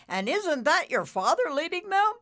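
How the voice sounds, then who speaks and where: Brief: loud snorting bull-shaped cloud, done by a woman reader. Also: mid-range voice, animated, measured pace, voice pushed up. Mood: announcing. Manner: loud, announcing.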